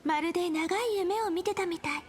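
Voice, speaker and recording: A woman voicing a playful, bubbly young woman in a game, with a high, sweet voice. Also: rapid-fire. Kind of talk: Japanese game voice acting. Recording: game audio with music behind.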